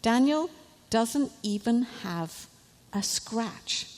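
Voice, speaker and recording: A woman's voice: on the high side, lively intonation, drawn-out words, darkish tone. A woman voicing a grand, gullible but kind-hearted king in a storybook, proclaiming his words emphatically. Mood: amazed. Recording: live reading in a reverberant hall.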